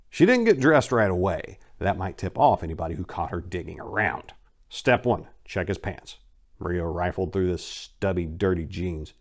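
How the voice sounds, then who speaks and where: A man's voice: deep voice, darkish tone. A man narrating a crime short story as a dramatic reading, with theatrical, emphatic delivery.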